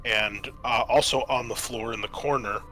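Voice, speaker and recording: A man running a tabletop roleplaying game as game master, describing scenes in a halting, conversational way and often restating himself. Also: medium pitch, steady tone, sharp tone, low-quality recording.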